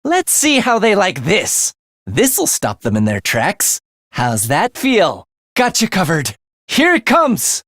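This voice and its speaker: Languid, morbid young killer, swooping pitch. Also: male voice, slow, dry recording, clean studio sound, full character voice. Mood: eager, combative. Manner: languid, menacing, breaking into gleeful excitement. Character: morbid young man, a soft-spoken killer giddy about death. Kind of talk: theatrical video game voice acting.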